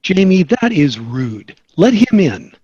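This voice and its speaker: A man acts out a chipper, genial sitcom father, reassuring and upbeat. His voice is a bit high and husky, on a slightly dull, lo-fi recording. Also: big pitch swings, slow pace, dark tone, dry recording, exaggerated voice. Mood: genial, firm. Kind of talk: sitcom table read. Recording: slightly dull, lo-fi online call.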